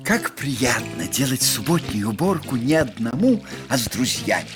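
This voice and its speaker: Cartoon: a man as an easygoing old bear, deep, homely, lively pitch. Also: normal speed, over music, natural voice. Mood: content. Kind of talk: cartoon voice acting.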